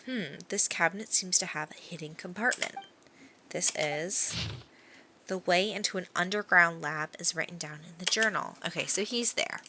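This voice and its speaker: A woman's voice: fairly low pitch, normal speed, background noise. A woman streaming a game, chatting casually and reading the on-screen text aloud. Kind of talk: casual reactions while playing a game. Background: game music.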